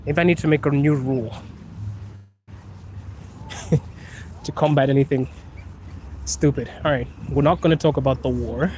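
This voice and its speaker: A young man from Zimbabwe hosting a relaxed live Q&A while walking outdoors. He has his sinuses bothered by pollen, laughs often and drags out some words. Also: mid-range voice, moderate pace, long pauses, slight background noise. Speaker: host. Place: outdoors on a city street.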